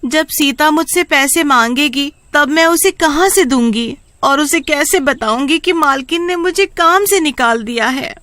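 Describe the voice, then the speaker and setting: Woman acting pleading, high-pitched anxious elder sister. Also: unhurried, hardly any pauses, bright, forceful tone. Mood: worried. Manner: pleading, earnest. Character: poor, anxious, devoted young working-class elder sister, pleading.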